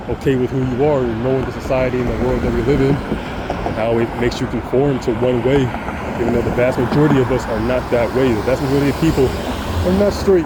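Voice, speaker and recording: Deep-voiced man over loud street noise. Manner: earnest, repetitive, many restarts and stammers. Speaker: vlogger. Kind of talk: casual monologue to camera.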